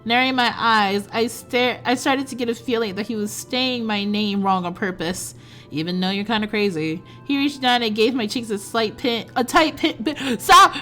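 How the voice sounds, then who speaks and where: A woman streamer with a fairly high voice, reading a story game aloud and breaking off into excitable, flustered comments. She giggles easily and gets carried away. Faint music plays behind her. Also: moderate pace, hardly any pauses.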